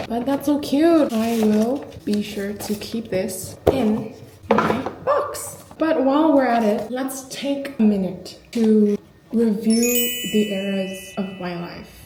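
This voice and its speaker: A woman's voice: medium pitch, dark tone, reverberant, a little hiss or hum, poor audio. A woman in her twenties talking in a reflective, confiding, chatty way in a personal diary vlog. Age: 20s. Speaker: vlogger.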